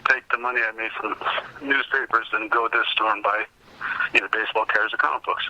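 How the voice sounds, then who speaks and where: Bright, forceful middle-aged man telling stories. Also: fairly low pitch, steady tone, quick pace, lo-fi sound. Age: 50s. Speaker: podcast guest, comic collector. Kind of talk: casual anecdotal interview chat.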